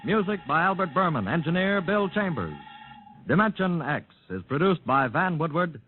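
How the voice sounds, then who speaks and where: A man's voice: on the high side, expressive, moderate pace, narrow, tinny audio. Formal male radio announcer, old broadcast. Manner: formal, polished delivery. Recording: thin 1950s radio transcription. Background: music.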